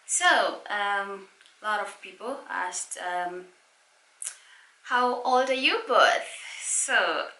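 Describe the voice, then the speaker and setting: A Kenyan woman in her late twenties speaks in a bright, forward voice at a middling pitch. She is playful and chatty, like someone co-hosting a casual vlog. The sound carries the echo of the room around her. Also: very slow. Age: late 20s. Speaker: vlogger co-hosting a couples' channel. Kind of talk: casual Q&A chat. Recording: echoey room.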